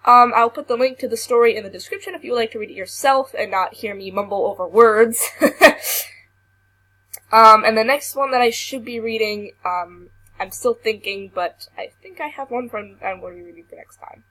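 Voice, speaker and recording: A woman's voice: fairly high pitch, bright, forceful tone, low-quality recording. A young woman who is sick and losing her voice, reading a creepy story aloud with some stumbles, then chatting casually to her viewers. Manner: halting reading that stumbles and restarts words. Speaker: YouTuber.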